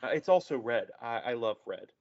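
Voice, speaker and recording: A man's voice: mid-range voice, animated, moderate pace, close to an everyday voice. A man reading a naive, sheltered young man at a play table read, hesitant and stammering. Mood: earnest. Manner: hesitant, stammering, wide-eyed. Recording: echoey room, muffled lo-fi.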